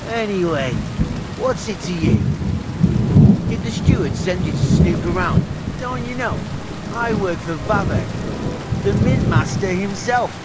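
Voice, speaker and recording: A man's voice: high-pitched, expressive, unhurried, long pauses, heavy background noise. An actor playing a rude, arrogant medieval mine foreman in a video game. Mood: gruff. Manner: rude and dismissive. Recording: game audio with loud rain and thunder.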